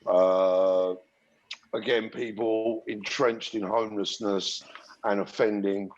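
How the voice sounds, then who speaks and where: A man's voice: fairly low pitch, flat delivery, slow pace. A middle-aged man who grew up in Algeria and England, reflectively telling his story in an interview with many restarts. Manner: reflective, frequent restarts and fillers. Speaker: podcast guest. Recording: dull lo-fi sound.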